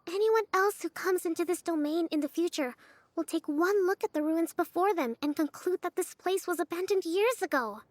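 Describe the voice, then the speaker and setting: A woman's voice: very high voice, moderate pace, no room sound. A woman voicing an earnest, commanding small female general in a video game, formal and persuasive. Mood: earnest persuasion.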